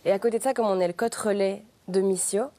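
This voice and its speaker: A woman's voice: mid-range voice, fairly even intonation, quick pace, warm tone. A young woman with a Belgian French accent, eagerly describing her group's plans as an interview guest. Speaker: student group member, guest.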